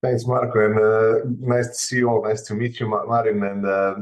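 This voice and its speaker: A man's voice: on the low side, flat delivery, normal speed. Croatian man with hesitant, filler-heavy speech. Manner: hesitant, many fillers and restarts. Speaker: panel debater. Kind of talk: panel debate.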